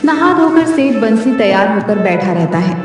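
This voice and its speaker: A woman's voice: mid-range voice, sing-song, normal speed. Echoey female storybook narrator. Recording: very echoey hall reverb, distant, music behind.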